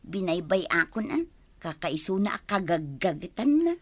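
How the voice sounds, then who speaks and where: A woman's voice: on the low side, big pitch swings, normal speed, dry recording. A woman playing a cheerful grown daughter in an Ilocano radio comedy drama, speaking with an Ilocano accent.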